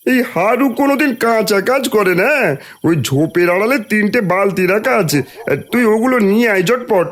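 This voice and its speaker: A man's voice: sits high, lively intonation, normal speed. A man playing a bossy, sneaky village thief in a fairy-tale cartoon, urgent and conspiratorial. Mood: cocky.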